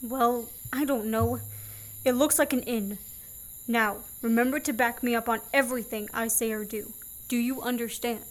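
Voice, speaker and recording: A woman's voice: fairly high pitch, fairly even intonation, moderate pace, lightly acted, poor audio. A young woman playing a sardonic, commanding man on the run in a student radio play. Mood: brisk. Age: young adult. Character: fugitive man, sardonic, bossy, wrongly accused.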